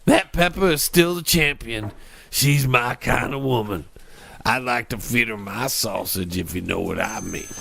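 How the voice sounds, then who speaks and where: A man's voice: mid-range voice, unhurried, creaky, fairly bright tone, a little hiss or hum. An actor playing a cranky, lewd old uncle in a cartoon comedy, sarcastic and crude as he grumbles along. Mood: jovial, bawdy. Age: older. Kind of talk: scripted animated comedy acting.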